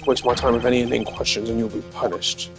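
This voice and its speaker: A man's voice: mid-range voice, little pitch movement, fast talker, over music, lightly acted. A man voicing an arrogant old ambassador villain in a video game, pompous and condescending. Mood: haughty, impatient. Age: older.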